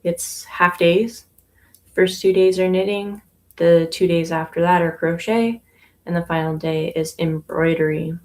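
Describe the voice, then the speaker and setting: A young adult woman from Canada, a yarn shop owner, chatting casually on a livestream while she knits, relaxed and unhurried, with plenty of ums and pauses. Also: lowish voice. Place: inside her yarn shop.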